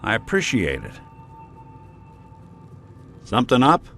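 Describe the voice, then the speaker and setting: An actor voicing a weary, wry, good-hearted middle-aged robot private detective in a video game, with an American accent and deadpan delivery. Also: male voice, mid-range voice, takes it slowly, gravelly, faint music behind, exaggerated voice. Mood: wry, easygoing. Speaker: companion character in a video game.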